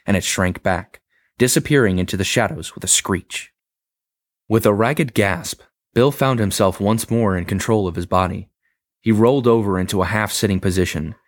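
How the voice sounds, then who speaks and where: A man reading a scripted horror story aloud with measured, dramatic pacing. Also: sits low, dry recording. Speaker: story reader.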